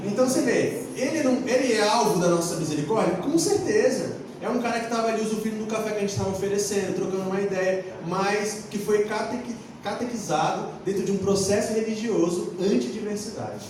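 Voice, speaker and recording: A Brazilian man talking casually on a forum panel, telling anecdotes in colloquial, halting phrases. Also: high voice. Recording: echoey room, distant mic, faint noise.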